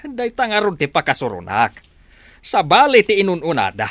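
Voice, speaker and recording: A man's voice: high voice, huge pitch swings, moderate pace, fairly bright tone, no room sound, full character voice. A man playing an exasperated old village father in an Ilocano radio comedy drama, grumbling and exclaiming with a Filipino accent. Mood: grumbling, impatient. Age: older. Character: exasperated old village father fretting over his mortgaged farm.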